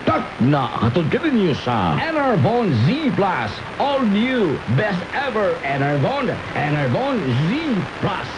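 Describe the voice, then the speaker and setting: A man's voice: sits high, sing-song, takes it slowly, few pauses. A man with a Cebuano Filipino accent doing punchy scripted radio announcements and commercials. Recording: hissy, noisy AM radio reception.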